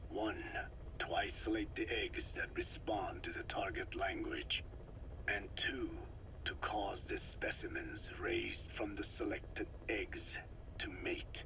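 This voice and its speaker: An actor playing a frail, soft-spoken scientist in a video game, explaining slowly and formally in a breathy rasp. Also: male voice, lowish voice, whispered, low-quality recording. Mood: patient, scholarly. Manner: slow, formal, measured, scholarly phrasing. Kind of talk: video game voice acting, recorded interrogation.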